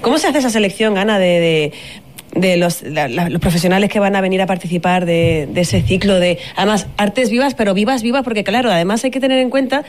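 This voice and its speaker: A woman's voice: mid-range voice, a little fast. A woman hosting a radio interview in a chatty, playful way, teasing her guests and calling herself nosy. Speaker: radio host.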